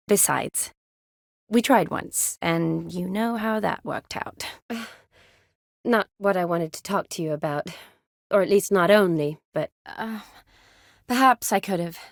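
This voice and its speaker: A young woman medic from a video game, speaks at a natural middle pitch with an expressive, conversational delivery. She is kind and spirited, with a teasing streak and a wistful side. The sound is close and dry, free of room echo. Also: gravelly. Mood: hesitant, earnest. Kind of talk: video game dialogue acting.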